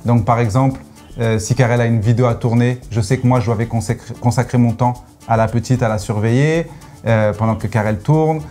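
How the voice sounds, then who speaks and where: A man's voice: medium pitch, steady tone, brisk, warm tone, soft background music. A young man from Senegal, chatting as an interview guest, joking and playful, explaining with many fillers. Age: young adult. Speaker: guest, content creator. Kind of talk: casual interview chat.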